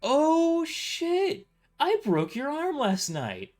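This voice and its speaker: A man's voice: very high voice, huge pitch swings, drawn-out words, a little mellow, soft background music, pitched above the performer's own voice, full character voice. A man voicing a tough, sardonic mercenary woman who is casual about violence, in an amateur audio drama. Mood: gleeful, brash. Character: tough, sardonic young tomboy mercenary woman working as waitress.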